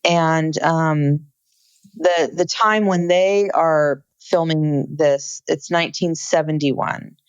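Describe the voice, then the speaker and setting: A woman's voice: deep voice, slow pace, smooth, no room sound. A woman co-hosting a casual podcast, earnestly explaining background and retelling what she saw. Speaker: podcast co-host. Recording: dull, dry, slightly lo-fi mic.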